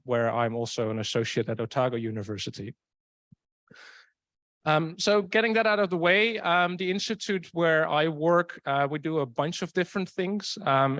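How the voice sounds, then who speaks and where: A man with a Dutch accent giving an invited conference talk, measured and dotted with ums. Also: medium pitch, no echo. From Netherlands. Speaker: invited speaker. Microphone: video call.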